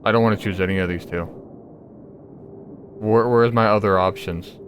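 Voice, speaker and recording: A man giving casual gameplay commentary as a video creator, blunt, sarcastic and quick to swear. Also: on the low side, steady tone, a little hiss or hum.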